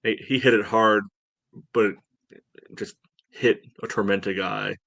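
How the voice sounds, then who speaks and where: Low-voiced man in casual soccer talk. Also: fairly even intonation, gravelly, sharp tone, no echo. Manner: halting, peppers talk with "you know". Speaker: soccer podcast co-host and analyst. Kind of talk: casual sports talk, match breakdown.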